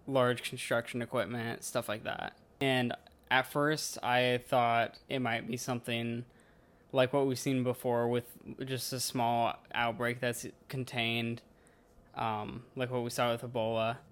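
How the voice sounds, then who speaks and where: Young american guy in his early 20s, mid pitched voice, chatting through interview answers. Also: little pitch movement, unhurried, a bit bright, smooth. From Washington state, United States. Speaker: interviewee, heavy equipment mechanic.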